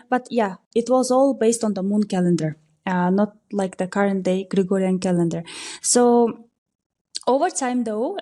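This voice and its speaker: A woman from Kyrgyzstan, a local tour operator, answering interview questions in a relaxed, explanatory way with frequent fillers. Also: a little high. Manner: explanatory, many fillers, steady flow. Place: podcast recording.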